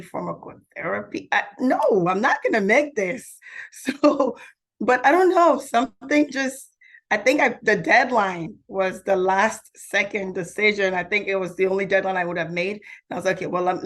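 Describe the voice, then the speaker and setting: A woman's voice: mid-range voice, fairly bright tone. A woman answering interview questions as a podcast guest in a reflective, candid, conversational way. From Nigeria.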